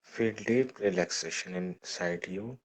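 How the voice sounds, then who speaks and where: Deep, slow man guiding meditation. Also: low-quality recording. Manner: instructing, repeating set phrases. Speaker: meditation guide. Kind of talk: guided meditation affirmations. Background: music.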